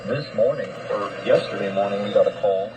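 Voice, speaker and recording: A man's voice: lowish voice, fairly quick. A man co-hosting a radio show, chatting informally and a little hesitantly. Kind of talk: casual radio chat. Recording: noisy shortwave radio broadcast.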